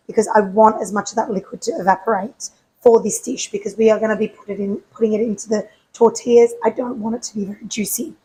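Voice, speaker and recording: A woman with a croaky voice hosting a home cooking show, chatting casually and answering her own questions as she cooks. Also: fairly high pitch, poor audio. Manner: chatty, asks herself questions and answers them.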